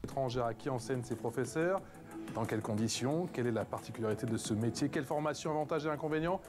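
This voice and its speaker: A man's voice: mid-range voice, fast. A man presenting a television magazine show in a scripted broadcast style. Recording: TV broadcast with music bed. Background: music.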